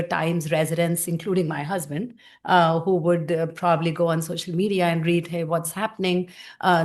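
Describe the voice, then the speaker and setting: Halting woman addressing the council. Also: low voice. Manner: halting, many fillers and restarts. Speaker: council member. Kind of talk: formal remarks at a public meeting.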